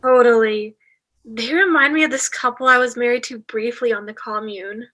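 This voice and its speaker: Bright, high-voiced woman as a hippie ghost. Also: normal speed. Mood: breezy. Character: friendly, free-spirited hippie woman ghost.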